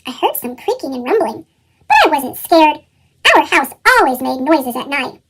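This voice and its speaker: Woman, chipmunk pitch effect, extremely high, animated intonation, children's storybook narration. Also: normal speed. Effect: chipmunk pitch-shifted voice. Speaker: storybook reader.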